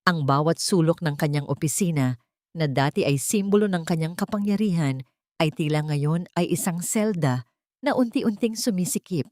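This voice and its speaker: Low-voiced woman narrating a dramatic story, dry recording. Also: expressive, normal speed, darkish tone, dry recording. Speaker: audiobook narrator.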